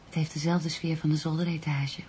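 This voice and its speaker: An actress playing an affectionate, lighthearted wife in a radio play, talking in intimate, familiar domestic dialogue. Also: female voice, sits low, little pitch movement, brisk, darkish tone, a little hiss or hum, natural voice. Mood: loving.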